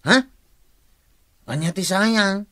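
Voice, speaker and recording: A gullible, lovesick young Ilocano man in a radio comedy. The voice is bright and sharp, slow and hesitant, with a plaintive whine. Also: sits high, heavily acted. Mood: eager, puzzled.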